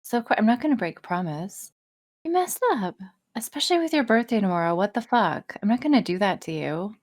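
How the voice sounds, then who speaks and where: A woman's voice: medium pitch, animated, fairly quick. A woman chatting casually as a podcast guest, playful, sweary and self-mocking. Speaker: podcast guest, audio roleplay creator. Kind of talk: casual chat.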